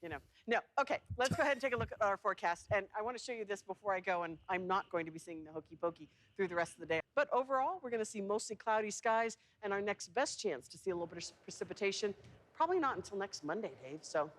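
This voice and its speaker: A woman's voice: medium pitch, animated, fast. A woman anchoring TV news with casual banter and a wry, good-humored manner. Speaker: TV news anchor giving weather forecast. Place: television studio.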